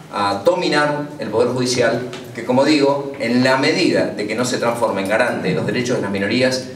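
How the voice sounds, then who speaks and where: Man deputy making an argument in a roomy hall. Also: mid-range voice. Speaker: opposition deputy. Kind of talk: press conference statement. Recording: roomy hall, far from the mic.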